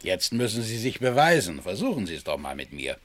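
An actor playing an older, educated, jovial country gentleman in a radio drama, with a hearty manner. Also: fairly low pitch, sing-song, a little fast. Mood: challenging. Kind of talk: German radio drama acting.

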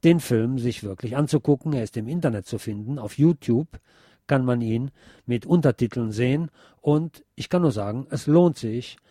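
Mellow male radio host, dry sound. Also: medium pitch, normal speed, dry recording. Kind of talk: scripted radio narration.

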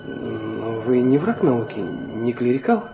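An actor playing an intense, proud young man weakened by illness, narrating and speaking earnestly and haltingly in a literary audio drama. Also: medium pitch, big pitch swings, creaky, music in the background, heavily acted, poor audio. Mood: skeptical, earnest. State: weak from illness.

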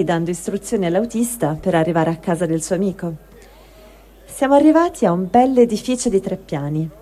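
A woman's voice: mid-range voice, mellow. A woman giving a dramatic reading of a first-person written testimony. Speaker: reader of a translated testimony.

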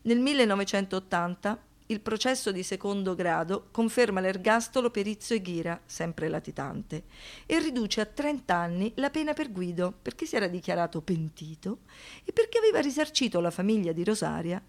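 A woman narrates a scripted dramatic documentary. Her voice is medium in pitch. She speaks in a measured, deliberate way, as a stage narrator would. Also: animated.

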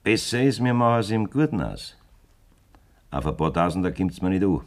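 An actor playing a blunt, scheming middle-aged farmer with a Bavarian accent in a German radio play. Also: male voice, low voice, sing-song, normal speed. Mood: calculating, boastful. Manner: blunt, domineering. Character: hard, scheming farmer demanding a divorce from his wife.

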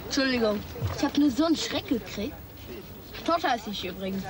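An actress playing a flustered, eager schoolgirl in a German children's radio play, hesitant and excitable. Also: a little high, brisk, crowd noise, close to an everyday voice. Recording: clear background noise.

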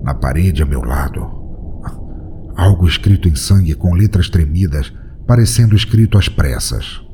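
Man, horror audio story narrator, low voice, mellow dark tone, measured and grave. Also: lively intonation, slight background noise.

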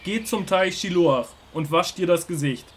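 An actor playing a calm, authoritative holy teacher in an audio drama, speaking with solemn assurance. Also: male voice, high voice, moderate pace, a little hiss or hum, lightly acted. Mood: solemn, assured.

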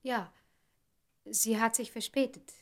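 An actress playing an efficient, polite secretary in a German radio drama, brisk and matter-of-fact. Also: female voice, on the high side, steady tone, fairly bright tone. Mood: businesslike. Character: efficient, polite female secretary reporting to her boss.

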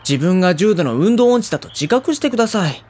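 A woman's voice: fairly low pitch, animated, fast, darkish tone. A woman playing a sensible, exasperated teenage schoolboy who makes dry, protesting retorts in an audio drama. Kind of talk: Japanese anime-style audio drama acting.

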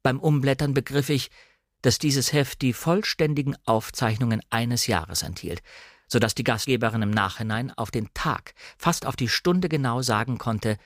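A man's voice: medium pitch, normal speed, dry recording. Man narrating literary prose, dry studio sound. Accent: standard German. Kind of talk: scripted literary narration, audiobook-like radio reading.

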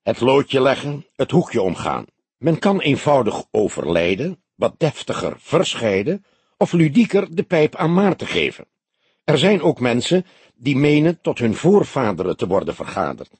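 Man reading as a grave old detective, husky voice. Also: medium pitch, big pitch swings, a little mellow, no room sound, pitched above the performer's own voice. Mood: lecturing. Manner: grave, measured, lecturing. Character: old male police detective, solemn, philosophical, gentle. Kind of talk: audiobook character dialogue.

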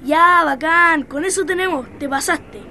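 A woman's voice: very high voice, measured pace, music in the background. A woman playing a casual, easily exasperated Chilean schoolboy, full of slang, in an educational radio drama. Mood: casual, eager.

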